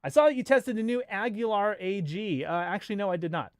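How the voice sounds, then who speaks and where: A man with an American accent chats off the cuff as a livestream host. His voice sits a little high and is very clean and smooth, recorded close and dry. He rambles informally and jokes along with his viewers. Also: fairly quick, no echo. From Los Angeles, United States. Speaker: bass player and livestream host. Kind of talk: casual livestream chat.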